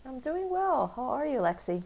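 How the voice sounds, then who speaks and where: A woman's voice: high-pitched, normal speed, gravelly. A woman raised in Taiwan and Kansas, a guest in a casual interview, telling her story with frequent laughter. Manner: animated storyteller, laughs often.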